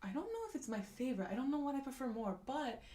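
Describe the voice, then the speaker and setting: A woman's voice: sits high, lively intonation, fast talker, dark tone. A young woman chatting casually on a live stream, reading out comments with lots of fillers, restarts and laughs. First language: not Japanese.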